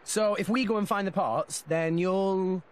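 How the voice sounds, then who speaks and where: A man's voice: high-pitched, a little slow, clear, resonant tone. A man playing an earnest, upbeat teenage boy adventurer in a video game. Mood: thoughtful. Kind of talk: video game character acting. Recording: loud game score behind voice. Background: loud music.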